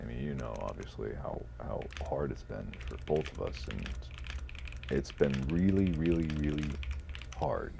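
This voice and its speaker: A man talking casually in a podcast-style conversation as a content creator, with halting, mulled-over phrasing. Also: rumbling low, gravelly. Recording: noticeable background noise.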